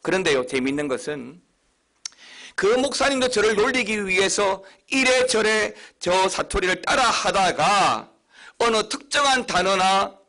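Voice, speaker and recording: Busan-accented pastor preaching with high, lively pitch. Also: male voice. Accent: Busan Gyeongsang. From Busan, South Korea. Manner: emphatic preaching with sudden high, loud stresses. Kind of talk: sermon.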